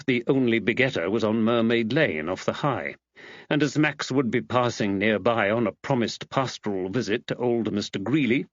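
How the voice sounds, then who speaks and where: A man's voice: medium pitch, no room sound, muffled recording. A man reading a mystery novel aloud as scripted audiobook narration.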